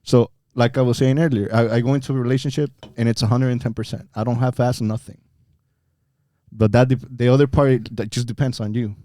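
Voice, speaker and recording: Podcast guest, young man, outspoken and emphatic, warm low timbre. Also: steady tone, brisk, no echo. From Mexico. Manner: blunt, swears freely, emphatic. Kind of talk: casual chat.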